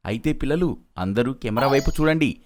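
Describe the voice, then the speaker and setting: An actor playing a cheerful, caring father who talks to his children in an animated cartoon manner. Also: medium pitch, brisk, close to an everyday voice.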